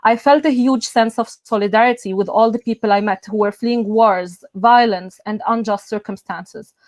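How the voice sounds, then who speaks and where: A young woman from Egypt, a native Arabic speaker, giving an earnest, measured welcome speech as event host over a video call. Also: fairly high pitch, no echo. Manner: earnest, measured, with soft filler sounds. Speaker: event host, student union president.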